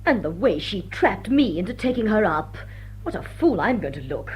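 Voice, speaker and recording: A woman's voice: mid-range voice, sing-song, moderate pace, breathy edge, rough recording. An actress playing a sardonic society lady in a radio drama, arch and drily mocking. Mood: scornful, decisive. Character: dry, sardonic titled English society lady. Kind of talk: stage-comedy radio drama acting.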